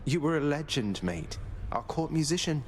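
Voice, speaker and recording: A man's voice: on the high side, very animated, moderate pace. A man voicing a young underworld prince in a video game, a defiant but good-hearted son with a wry, understated way of talking. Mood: friendly.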